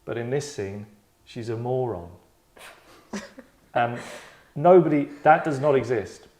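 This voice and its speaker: A man's voice: mid-range voice, animated, slow pace, warm tone. A man acting as host and director, reading stage directions and discussing the script informally, often repeating phrases for emphasis. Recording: echoey room.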